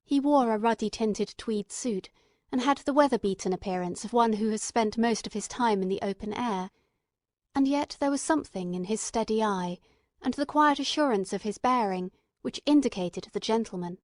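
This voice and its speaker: A woman reading scripted literary narration as an audiobook narrator, in a measured, deliberate manner. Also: a little high, a little mellow, no echo, clean studio sound.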